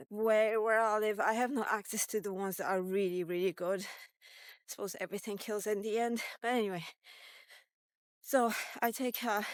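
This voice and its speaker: A woman in her fifties talking casually in a diary-style vlog, halting and reflective as she thinks aloud. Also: medium pitch, no room sound. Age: 50s. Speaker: vlogger.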